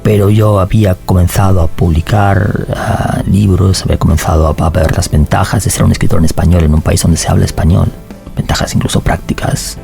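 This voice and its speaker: Low gravelly-voiced man, measured and thoughtful. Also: fairly even intonation, warm tone, soft background music. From Argentina. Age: 40s. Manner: measured, thoughtful, with many fillers. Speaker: novelist guest. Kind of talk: reflective interview answers.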